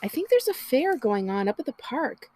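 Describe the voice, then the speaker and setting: A woman's voice: sits high, very animated, moderate pace, darkish tone, voice pushed up. A woman reading a storybook as a defiant, anxious younger sister of about eleven, earnest and indignant. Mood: insistent. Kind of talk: children's storybook character reading.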